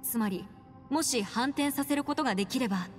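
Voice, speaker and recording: Actress as a confident young woman, fairly high voice. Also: fairly quick, natural voice. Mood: confident, hopeful. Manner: assured, explanatory, crisp phrasing. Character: bright, confident young woman legal scholar. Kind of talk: Japanese anime-style game voice acting. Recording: long reverb, music underneath.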